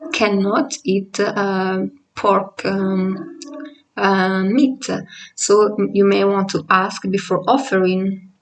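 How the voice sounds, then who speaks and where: A woman with an Italian accent, Italian being her first language, explains a language lesson at an unhurried, patient pace, pausing often with fillers. Her voice sits at a middle pitch and is picked up from a little way off the mic. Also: distant mic, low-quality recording. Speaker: language teacher.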